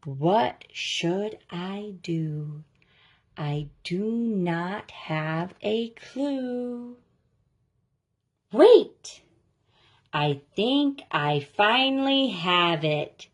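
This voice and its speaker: A woman reading a children's picture book aloud, with a deliberate, expressive storytelling delivery. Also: medium pitch. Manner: slow, deliberate, expressive delivery of rhyming lines. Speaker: story reader.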